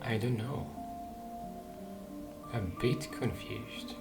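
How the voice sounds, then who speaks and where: A man with a very low voice gives casual gameplay commentary. He thinks aloud and repeats phrases to himself, with game music clearly audible behind him. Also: extremely slow. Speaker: video game streamer.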